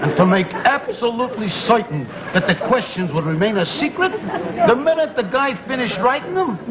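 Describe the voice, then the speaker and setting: A shady tough guy with a high, lively voice and slangy, ungrammatical speech, earnestly assuring. It is old comedy sketch acting, dull in sound, in front of a noisy audience. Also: fairly quick, a crowd in the background. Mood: earnest assurance. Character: shady uneducated tough guy guarding quiz questions.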